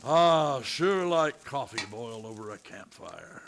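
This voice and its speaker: A man plays a shrewd Old West lawman in a radio western. He is steady and laconic, speaking in dry, folksy frontier phrases whether in dialogue or narration. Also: mid-range voice, unhurried, gravelly, background noise. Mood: dry, assured.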